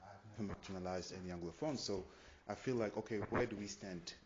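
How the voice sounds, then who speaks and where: Deep-voiced French Cameroonian man. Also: fast, a little mellow. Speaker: audience member asking a question. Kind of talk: question from the floor at a talk. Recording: muffled, lo-fi conference recording.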